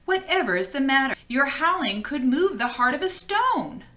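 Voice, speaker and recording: Woman voicing an earnest storybook frog, bright-toned. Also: sits high, sing-song, normal speed, exaggerated voice. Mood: concerned. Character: male frog, patient, earnest, bargaining for affection.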